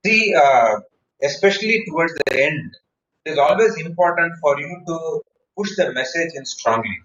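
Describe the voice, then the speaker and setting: A man's voice: a little high, animated, normal speed, a bit bright, slight background noise. Echoey Indian man teaching public speaking. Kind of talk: online workshop, explaining and answering questions. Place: indoor room, online meeting. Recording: echoey, dull online meeting audio.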